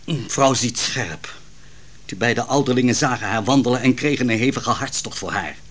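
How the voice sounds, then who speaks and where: A man's voice: mid-range voice, normal speed, fairly bright tone, lightly acted. A man acting a proud, troubled royal counsellor defending his wife in a Dutch radio play, formal and theatrical. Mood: proud, agitated.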